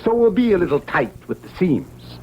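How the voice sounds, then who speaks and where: An actor playing a pushy, defensive middle-aged garment boss who argues in rhetorical questions in a radio drama. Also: male voice, high voice, very animated, normal speed. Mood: indignant. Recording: dull, slightly noisy vintage radio recording.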